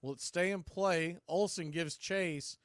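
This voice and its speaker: A man's voice: high-pitched, a little slow, no echo, clean studio sound. A man calling baseball play-by-play live on a stream, in clipped phrases that lift on the action.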